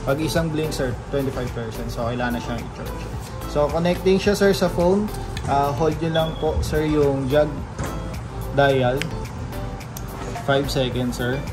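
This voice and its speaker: A man's voice: medium pitch, unhurried, long pauses. A Filipino man working as shop staff, politely explaining a product step by step in an informal demonstration. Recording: music playing behind the voice. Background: music.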